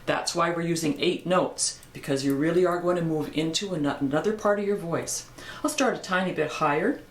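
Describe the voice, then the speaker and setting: An older woman with a low voice, teaching a singing lesson in a calm, encouraging way. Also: brisk, echoey room, a little hiss or hum. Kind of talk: vocal lesson with sung exercises.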